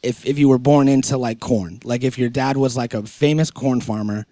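Guy doing podcast interview, soft mellow tone, dry close sound, slangy and rambly but into it. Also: medium pitch, moderate pace, dry recording. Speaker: podcast host. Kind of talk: casual podcast interview chat.

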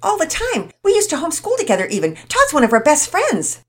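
A woman doing a fast-chattering girl. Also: high voice, wildly swooping pitch, voice pushed up. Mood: chatty. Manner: rapid, chattering. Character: fast-talking cheerful girl.

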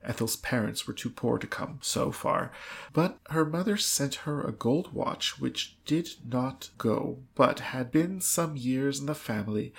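A woman's voice: very deep voice, creaky, husky. A woman co-hosting a podcast, reading an old novel aloud in an amused, dramatic storytelling way.

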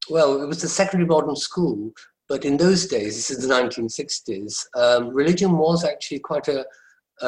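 An older man from England, speaking as an academic guest in a measured, hesitant way, pausing to find his words. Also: mid-range voice, roomy sound, poor audio. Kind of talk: panel discussion on a video call.

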